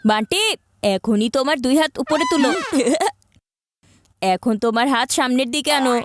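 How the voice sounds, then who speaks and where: A woman as a high-pitched scheming cartoon kid. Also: moderate pace, close to an everyday voice. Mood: gleeful, triumphant. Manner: theatrical, exclamatory. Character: scheming, bossy child, older sibling type, gleeful prankster. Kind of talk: cartoon voice acting. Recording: music behind the voice.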